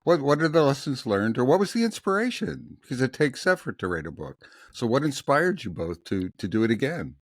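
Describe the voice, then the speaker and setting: A man's voice: medium pitch, very animated, brisk, hardly any pauses, no room sound, clean studio sound. A man hosting a podcast and inviting his guests to talk. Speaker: podcast host. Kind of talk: interview questions.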